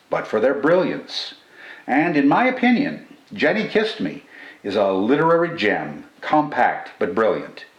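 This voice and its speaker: An older man reading a scripted literary commentary and reciting verse as host, in a measured, formal and expressive manner with deliberate phrasing. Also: medium pitch, lo-fi sound. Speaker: poetry channel host and reader. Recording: roomy indoor echo.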